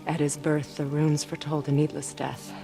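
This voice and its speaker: A woman's voice: sits low, flat delivery, creaky. A solemn, motherly witch over music. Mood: sorrowful, confiding. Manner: measured, solemn, tender. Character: wise, sorrowful, motherly exiled witch. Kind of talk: dramatic video game cutscene acting.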